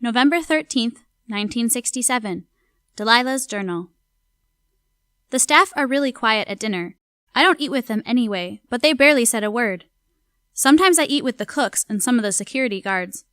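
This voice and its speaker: A woman's voice: fairly high pitch, expressive, a little fast, a bit bright, clean tone, dry recording, clean studio sound. A woman playing an observant young household servant narrating her journal in an audio drama, plain and confiding. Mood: confiding, puzzled. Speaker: voice actor.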